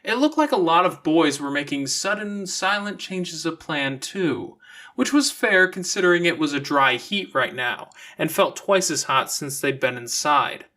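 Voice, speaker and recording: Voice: medium pitch, expressive, a little slow, sharp tone. An audiobook narrator reading scripted fiction in a storytelling style.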